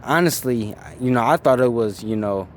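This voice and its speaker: A man in his twenties being interviewed, talking in a laid-back, casual way and often trailing off softly. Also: medium pitch, normal speed, slight background noise. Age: 20s.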